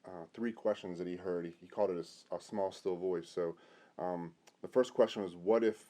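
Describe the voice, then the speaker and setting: A middle-aged man, a pastor, hosting an informal conversational interview in a halting way. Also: deep voice, steady tone, dark tone. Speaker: host, pastor.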